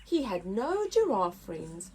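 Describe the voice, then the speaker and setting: A woman reading a children's story aloud as a story time host, with expressive, dramatic emphasis. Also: medium pitch, slow pace, slight background noise, rough recording.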